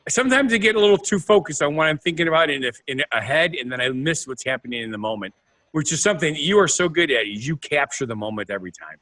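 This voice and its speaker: A male podcast co-host chatting casually, playful and a bit rambling. His voice sits fairly high and bright. His pitch swings widely as he talks. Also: animated, quick pace. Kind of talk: casual chat.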